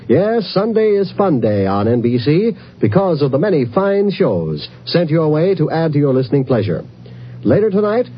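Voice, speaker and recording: An American man announcing network programs in a promotional 1950s radio style. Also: fairly high pitch. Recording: muffled old radio recording with faint hum.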